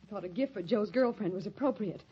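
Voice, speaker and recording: A woman's voice: mid-range voice, fast, breathy edge. A woman playing a loyal, earnest girlfriend, sincere and direct, in an old-time radio drama. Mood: grave. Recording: muffled old radio recording.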